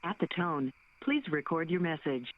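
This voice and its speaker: A man voicing an impersonal automated voicemail announcer through a telephone filter, reading formal, measured prompts. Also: on the high side, sing-song. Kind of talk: recorded phone system prompt. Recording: dull, muffled phone line audio.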